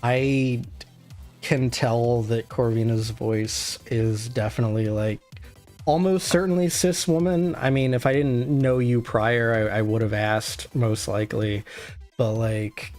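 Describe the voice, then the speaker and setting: A man's voice: medium pitch, steady tone, measured pace, a little mellow, soft background music. A man hosting a casual stream chat, thoughtful and rambling with many restarts. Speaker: streamer host.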